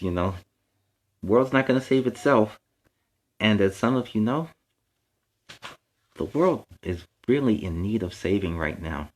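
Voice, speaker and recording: A man hosting a children's story time, reading aloud with playful, explanatory asides in a superhero persona. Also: lowish voice. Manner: explains words and lessons to young listeners.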